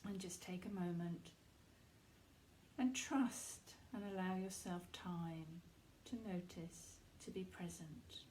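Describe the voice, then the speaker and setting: A woman leading a guided meditation, giving soft, unhurried instructions in a soothing, reassuring manner with pauses between phrases. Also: lowish voice, fairly even intonation, a little hiss or hum, low-quality recording.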